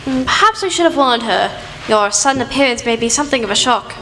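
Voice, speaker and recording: Woman as high-voiced genteel doctor sidekick. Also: lively intonation, fast talker, sharp tone, a little hiss or hum. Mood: amused, startled. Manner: proper, wordy, exclamatory. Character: genteel female doctor, detective's wry, easily startled sidekick. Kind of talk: radio play acting. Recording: reverberant hall, faint music.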